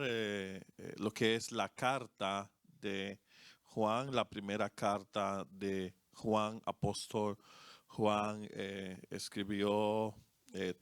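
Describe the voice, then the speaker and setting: A man with a Dominican accent, a co-pastor, preaching and praying in a church service with a slow, deliberate cadence. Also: on the low side, a bit bright, clean tone, no room sound, clean studio sound. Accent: Dominican Spanish. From Dominican Republic. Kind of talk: sermon and congregational prayer.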